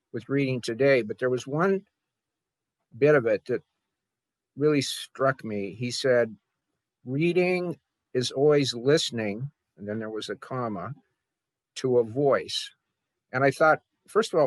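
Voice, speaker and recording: A man hosts a literary program in a reflective, informal way. His mid-pitched voice moves haltingly, with deliberate pauses between phrases. The sound is dry, with little room around it. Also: no room sound. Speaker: host introducing a literary reading. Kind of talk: informal spoken introduction.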